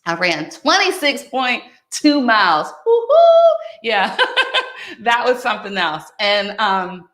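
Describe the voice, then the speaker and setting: A woman's voice: a little high, sing-song, slow, a bit bright. Folksy woman in her fifties, echoey room. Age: 50s. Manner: folksy, addresses listener as friend and honey. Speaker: wellness coach. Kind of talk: motivational talk.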